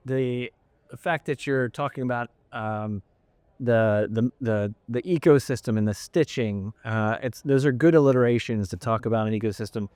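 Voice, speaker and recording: A middle-aged man interviews conversationally, haltingly searching for his words. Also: mid-range voice, darkish tone, no room sound. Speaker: interviewer, host. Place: indoor event interview set.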